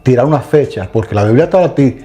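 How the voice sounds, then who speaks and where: A man's voice: mid-range voice, animated, fast talker, dark tone, soft background music. A middle-aged man co-hosting a casual Bible discussion, talking emphatically and addressing listeners directly. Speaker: co-host of a Bible study channel.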